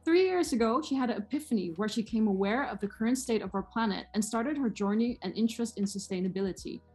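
A woman co-hosting a podcast, smoothly reading a prepared introduction of the guest. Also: a little high, fast, a little mellow. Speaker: podcast co-host.

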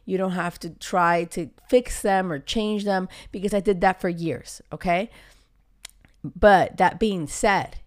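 A woman's voice: fairly low pitch, slow pace. A woman, hosting a casual solo podcast as a love coach, talking emphatically with many fillers while her voice comes back after a cold. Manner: emphatic, gets riled up, frequent fillers. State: recovering from a cold, voice coming back.

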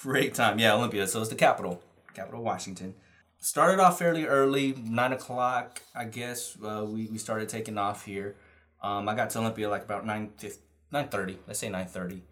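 A man's voice: fairly low pitch, a little fast, a bit bright. A man who is a bit sick, telling an easygoing story on a casual podcast. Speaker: podcast co-host.

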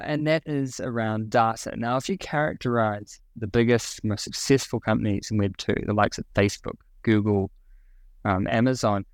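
A man's voice: mid-range voice, dry recording, clean studio sound. A young man with a New Zealand accent, a podcast guest explaining a product with many ums and restarts. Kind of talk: podcast interview.

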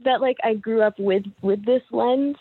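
A woman's voice: fairly high pitch, moderate pace, slightly rough, dry recording. A young woman interview guest, talking in a hesitant, rambling way with many restarts. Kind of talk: casual interview chat. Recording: dry, tinny phone-like line.

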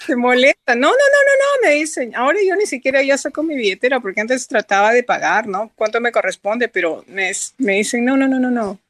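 Lady guest telling stories, highish voice, casual, dry sound. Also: expressive, a little fast, hardly any pauses, fairly bright tone, no room sound. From Peru. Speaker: guest calling in to a talk show. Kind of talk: casual anecdotal chat.

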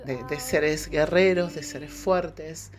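A woman whose first language is German, hosting a podcast interview in a halting, effusive way, with many restarts and throat clearings. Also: low voice. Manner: effusive, heartfelt thanks. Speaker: podcast host.

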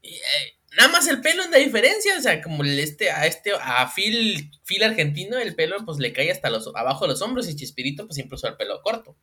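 A man's voice: sits high, a little fast, husky. A man with a Mexican accent co-hosting a casual comedic chat about viral news, slangy and laughing often. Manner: animated, slangy, laughs often, mimics voices. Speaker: co-host.

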